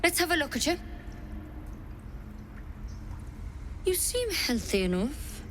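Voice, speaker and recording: An actress playing a practical woman druid healer in a video game, with a brisk, plain-spoken bedside manner. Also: high-pitched, very animated, fairly bright tone, slight background noise. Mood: probing.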